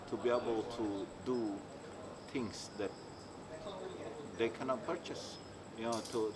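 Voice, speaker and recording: Halting man, noisy outdoors. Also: mid-range voice. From Indonesia. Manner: halting, searching for words, many restarts. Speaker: interviewee, maker. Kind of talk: casual interview talk. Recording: clear background noise, insects.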